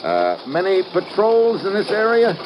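An actor playing a tough, wisecracking American secret agent in an old-time radio drama, talking in a casual, slangy, wry way. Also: male voice, sits high, wildly swooping pitch, measured pace, lo-fi sound, heavily acted. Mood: wary. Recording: faintly hissy vintage radio recording.

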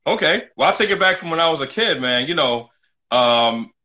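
A man's voice: fairly high pitch, expressive, normal speed, sharp tone. A middle-aged man from New City, telling his own story casually as an interview guest, emphatic and often repeating himself. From New York City, United States.

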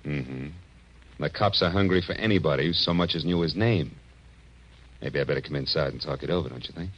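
Tough american noir detective, real low voice, wry n slangy, muffled old 40s radio sound. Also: male voice, a little fast, a bit bright. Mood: probing, wry. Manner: clipped, slangy, wisecracking. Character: tough wry male private detective narrating his case. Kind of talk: 1940s radio acting, hardboiled first-person narration. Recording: dull, noisy old radio recording.

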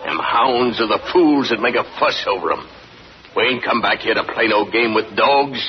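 A man voicing a gruff, hostile farmer who snaps short threats at everyone, heard in a vintage radio play. The recording is dull and lo-fi, with a faint hiss underneath. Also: on the low side, moderate pace, close to an everyday voice. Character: surly hostile middle-aged sheep farmer. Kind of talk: 1940s radio acting.

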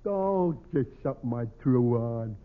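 A man's voice: mid-range voice, expressive, natural voice. Male actor as lovable New dope, literal-minded, widely lilting voice, tinny 1940s radio comedy recording. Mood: eager, pleased. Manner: dopey, slow, literal-minded. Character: dim-witted, good-natured, slow male tavern regular. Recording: tinny, faintly noisy old radio recording.

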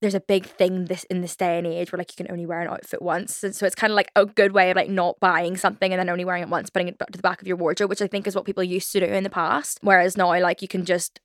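A woman's voice: lowish voice, flat delivery, quick pace, hardly any pauses, no room sound, studio quality. A young woman in her twenties with a Belfast accent, hosting a casual solo podcast, chatty and rambling with lots of "like" and self-mocking asides. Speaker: podcast host.

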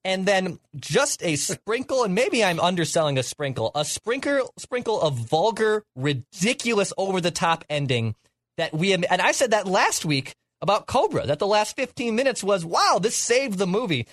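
A man's voice: high voice, very animated, normal speed, a bit bright, no echo. A young man co-hosting a podcast in a casual chat, talking emphatically and exaggerating for effect. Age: young adult. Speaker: podcast co-host.

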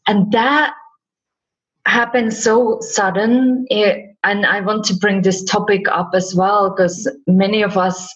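A woman's voice: medium pitch, fairly even intonation, takes it slowly, muffled recording. A woman from Finland with a Finnish accent, telling her personal story as an interview guest. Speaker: interview guest, spiritual counselor.

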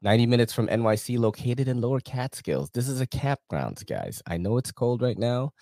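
A man's voice: mid-range voice, sing-song, a little fast, warm tone, dry recording, clean studio sound. A man hosting a live podcast, casually reading sponsor ads with slang, cursing and laughter. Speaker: podcast host.

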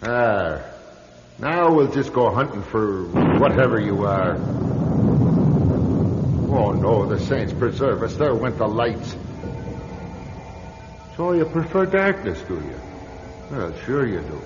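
Flustered priest in echoing space. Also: male voice, on the low side, slow, long pauses, music in the background. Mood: defiant, muttering. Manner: excitable, muttering, theatrical. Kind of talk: old-time radio drama acting. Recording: dull, noisy old radio recording, echoing hall.

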